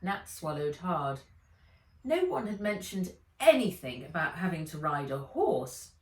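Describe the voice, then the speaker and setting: A woman author reading a children's storybook aloud in a measured, expressive way. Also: low voice. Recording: echoey room, distant from mic.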